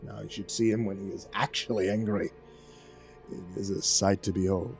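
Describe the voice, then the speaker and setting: A man's voice: lowish voice, little pitch movement, soft background music, voice pushed down, natural voice. Man doing a stern, formal creature keeper. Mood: calm, instructive. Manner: measured, formal, no contractions.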